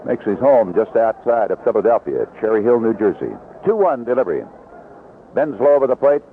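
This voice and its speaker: A man calling live baseball play-by-play on the radio in clipped, unhurried descriptive phrases. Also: mid-range voice, expressive. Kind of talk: live radio sports commentary. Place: outdoor ballpark broadcast position. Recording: vintage 1970s radio broadcast, lo-fi, noisy.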